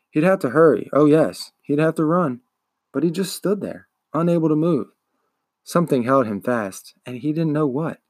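A man narrates a children's novel as a reader. His voice is medium in pitch with a gravelly fry, recorded dry and close. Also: no echo. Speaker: podcast host, audiobook reader.

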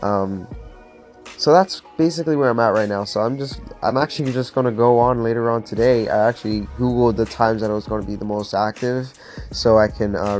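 A man's voice: fairly low pitch, darkish tone. A man in his twenties from Florida, talking as a podcast host in a casual, motivational monologue, earnest and upbeat, with many fillers like "like" and "um". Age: 20s.

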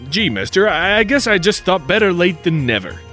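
An actor playing a brash, bluffing artifact hunter with slangy wisecracks, in 1940s radio style. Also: male voice, high voice, very animated, fairly quick, pushed and bright, faint music behind, full character voice. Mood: feigned innocence. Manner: old-time slangy wisecracking.

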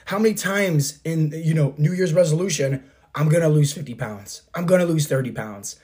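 A man's voice: fairly high pitch, moderate pace, darkish tone. A man in his thirties, a coach hosting his podcast, giving an earnest motivational monologue with a scratchy, failing voice. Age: 30s. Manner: earnest, repetitive, coach-like pep talk. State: scratchy throat, losing his voice. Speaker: podcast host and fitness coach.

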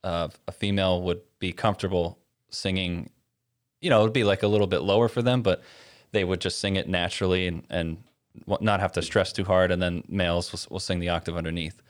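A man with a very deep speaking voice talks casually about leading congregational singing. He hesitates and restarts often, filling gaps with small sounds. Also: fairly even intonation, a little fast. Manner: hesitant, many fillers and restarts. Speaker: worship leader. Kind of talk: casual conversation.

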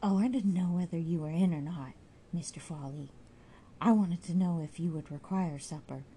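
A woman reading as a brisk nosy landlady. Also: on the low side, mellow, slight background noise, close to an everyday voice.

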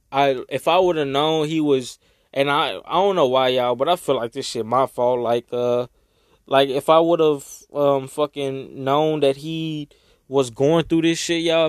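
A young man talking casually and emotionally to his viewers, rambling and swearing, his voice raspy from crying. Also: medium pitch, fairly even intonation, a little slow, dry recording, clean studio sound. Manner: rambling, swearing, heartfelt, Southern US slang. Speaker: vlogger. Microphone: own microphone.

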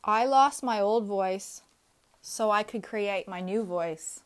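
A woman in her thirties reads a personal essay aloud. Her delivery is earnest and reflective, with measured pacing, and her voice sits at a middle pitch. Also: smooth. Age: 30s.

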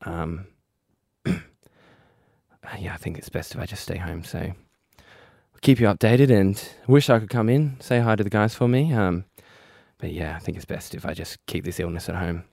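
A hoarse radio host puts on a feeble, croaky worker calling in sick, his voice sunk low and rough. He mumbles and stalls over each um, and the sound is close and dry with no room around it. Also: lively intonation, darkish tone, no room sound, full character voice. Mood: feebly ill. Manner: mumbling, halting, with lots of ums. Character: man faking a sick call to his boss.

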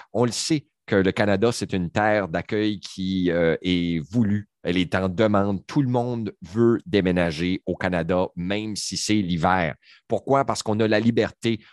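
A man in his forties holds forth on a discussion panel. His voice sits low, and he rambles emphatically, circling back through fillers. The sound is close and dry, with no room around it. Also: dry recording. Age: 40s.

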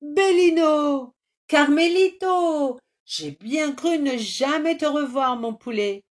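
A woman voicing a small young ram with bleating, drawn-out cries. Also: high voice, animated, a little mellow, voice pushed up. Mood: tearful.

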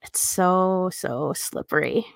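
Woman podcast co-host with creaky, lowish voice. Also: slow pace, no room sound, clean studio sound. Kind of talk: casual discussion of a book.

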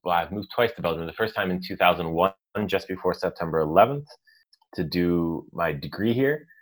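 A man's voice: deep voice, brisk, rough recording. An American man in his thirties, a leadership coach telling his life story in a casual interview. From United States. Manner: chatty, storytelling, self-deprecating with laughs.

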